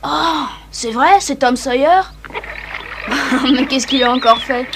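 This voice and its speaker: A woman's voice: sits high, slow. A woman voicing a nosy, teasing gossip in a French cartoon dub, inquisitive and exclamatory, on a noisy track. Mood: teasing, curious. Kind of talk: French dubbed cartoon acting.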